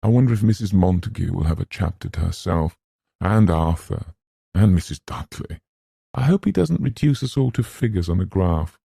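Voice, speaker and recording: A man voicing a flippant young gentleman in a storybook, with a light, lazy, mock-serious manner and playful humour. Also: sits low, big pitch swings, fairly quick, gravelly, slightly rough, dry recording, clean studio sound, heavily acted. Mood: idle musing.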